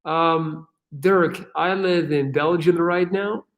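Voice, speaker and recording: A man hosts a casual livestream question session with a slightly high voice. He talks loosely and energetically, swears freely and fills his speech with "like" and "um". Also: slow. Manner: casual, profane, energetic, with many fillers. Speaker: channel host answering viewer questions.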